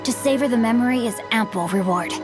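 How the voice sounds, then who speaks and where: A woman voicing a bookish, chivalrous young gentleman swordsman in a video game, composed, courteous and flowery in speech. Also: fairly high pitch, fast talker, over music, natural voice. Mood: lofty. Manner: flowery, formal, courteous, evasive.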